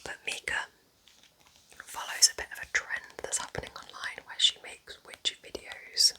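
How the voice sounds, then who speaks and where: An ASMR host recommending books in a breathy, unvoiced whisper, soft, unhurried and gently enthusiastic. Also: low-quality recording.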